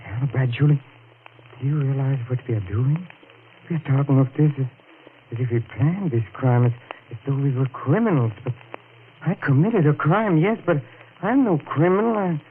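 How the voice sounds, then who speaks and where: On a scratchy, narrow 1940s radio recording, a man plays a guilt-ridden killer in a horror melodrama. He is halting and overwrought, stumbling over repeated words in a panic. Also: mid-range voice, lightly acted. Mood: anguished, brooding. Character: guilt-ridden, panicky man who has committed a murder.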